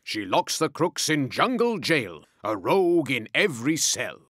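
A man's voice: mid-range voice, very animated, a little slow, fairly bright tone, dry recording, studio quality. A man narrating a rhyming story in a dramatic, scripted storyteller's delivery.

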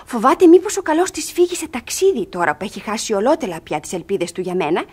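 A woman's voice: fairly high pitch, sing-song, husky. An actress playing a cunning, passionate young woman in a radio theatre comedy, dramatic and emphatic. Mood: flustered, scheming. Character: young female ward, cunning, passionate, desperate lover.

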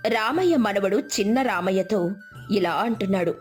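A woman's voice: mid-range voice, expressive, normal speed, faint music behind. A woman narrator reading a scripted village moral story.

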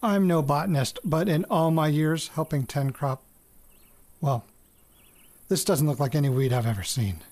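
A man's voice: a little high, moderate pace, pauses often, a little mellow, lightly acted. An actor playing a hesitant farm-raised man in an audio drama, murmuring and thinking aloud as if into a recorder. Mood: puzzled, awed.

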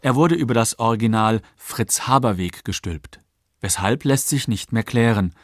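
A man narrating a scripted radio documentary in a factual, measured way at a close studio microphone. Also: medium pitch, no echo.

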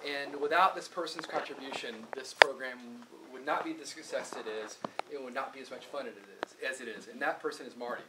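A man speaks up to honor a club member, earnest and steady, listing what he does. His voice comes from across the room, muffled by noise. The pitch sits in a middle range. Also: brisk, few pauses. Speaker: club organizer giving a tribute. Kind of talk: informal tribute speech. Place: indoor function room. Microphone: far camera. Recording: distant, noisy room audio.

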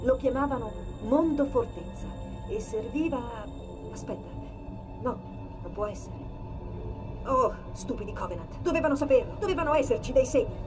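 A woman playing a brilliant, sharp-tongued female military AI in a dubbed sci-fi game, dramatic and urgent. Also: sits high, animated, normal speed, over music, rough recording. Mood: alarmed, fearful. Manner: dramatic, emphatic.

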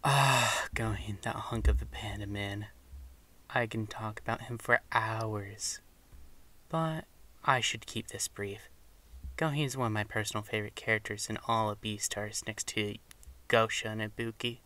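A man's voice: fairly low pitch, steady tone, a little slow, bright, forceful tone. A man giving prepared fan commentary as a guest, recorded on a slightly distant microphone.

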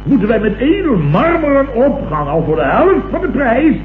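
Muffled old radio comedy: blustering, self-satisfied boss, swooping intonation, echoey room. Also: male voice, extremely high pitch, big pitch swings, normal speed. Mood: flustered, incredulous. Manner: pompous, self-satisfied, repeats himself. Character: pompous, blustering male company boss, vain and excitable. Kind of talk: 1960s Dutch radio comedy acting. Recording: muffled, hissy, echoey vintage radio recording.

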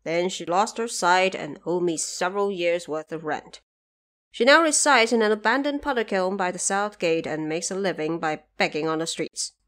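A woman's voice: medium pitch, fairly bright tone, natural voice. A woman voicing a male hostel owner in an audio drama, explaining things in a matter-of-fact way.

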